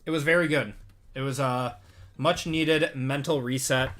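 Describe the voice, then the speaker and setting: Bright-voiced Midwestern man running card breaks. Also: mid-range voice, unhurried. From Wisconsin, US. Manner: relaxed, chatty, reads out cards and answers chat. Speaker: sports card break host. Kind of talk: live-stream chat while opening cards.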